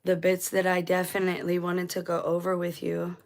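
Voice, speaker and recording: A woman with a lowish voice hosts her own channel, talking casually and drifting between thoughts. Her throat sounds dry and a bit scratchy, and she often backs up to fix her words mid-sentence. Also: completely flat delivery, brisk. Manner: rambling, self-correcting, conversational. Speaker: channel host. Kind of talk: casual commentary vlog.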